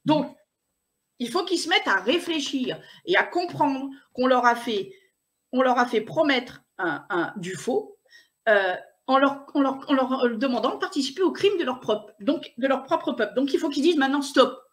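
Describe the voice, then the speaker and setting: A woman's voice: high voice, expressive, normal speed, fairly bright tone. A woman speaking insistently as an interview guest, restarting her sentences often. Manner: repetitive restarts, indignant, insistent. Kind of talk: emphatic conversational interview.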